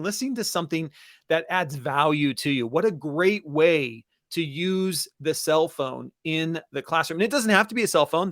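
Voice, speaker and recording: Higher-pitched man, conversational presenter. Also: fairly bright tone, no echo, studio quality. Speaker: webinar co-host, educator. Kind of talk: conversational, enthusiastic webinar talk.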